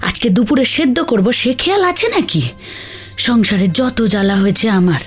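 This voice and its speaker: A woman voicing a sharp-tongued, weary village wife in a cartoon, scolding and complaining theatrically. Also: on the high side, very animated, moderate pace, soft background music. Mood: bitter, exasperated. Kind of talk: Bengali children's cartoon dialogue.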